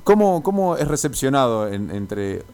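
A man's voice: mid-range voice, big pitch swings, moderate pace, darkish tone, clean tone. Argentine radio guy, buenos aires accent, mid voice, long roundabout questions, informal interview. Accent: Buenos Aires Argentine Spanish. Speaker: radio host.

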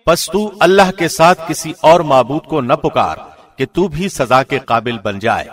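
A man's voice: fairly high pitch, expressive, in a large hall. A man reading a scripture translation from a script in a formal radio presenter's style.

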